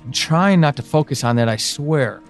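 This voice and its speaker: An actor playing an anguished, pleading, devoted man in an audio drama monologue, speaking haltingly and emotionally. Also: a little high, animated, soft background music. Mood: apologetic, tender. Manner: halting, hesitant, emotional, with sighs and pauses.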